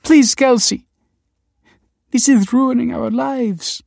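A man voicing a desperate woman in an audiobook, pleading in an emotional, breathy way. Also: very high voice, steady tone, takes it slowly, a little mellow, no echo, clean studio sound, pitched above the performer's own voice, heavily acted.

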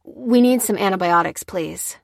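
A woman's voice: medium pitch, unhurried, vocal fry, no echo, clean studio sound. A woman voicing a polite, hesitant, persistent male customer in an audiobook. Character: placating, persistent man haggling as a customer.

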